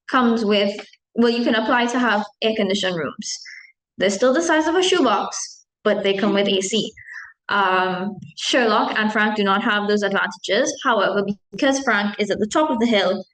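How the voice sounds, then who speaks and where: A woman's voice: medium pitch, a bit bright. A young woman from Trinidad, a medical student, answering questions at an open-day panel in a chatty way with many ums. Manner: chatty, frequent ums, self-deprecating asides. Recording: echoey room.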